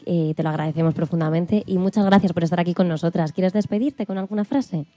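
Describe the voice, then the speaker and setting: Very mellow woman interviewer. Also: fairly low pitch, fast talker, dry recording. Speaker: radio interviewer and host. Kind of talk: scripted interview questions.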